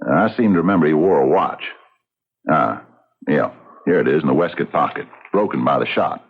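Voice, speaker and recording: An actor playing a big, devout frontier lawman with a gravelly, husky voice, grave, folksy and measured, in an old-time radio drama. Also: rumbling low, lively intonation, exaggerated voice. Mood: deliberate, reasoning. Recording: tinny vintage radio recording.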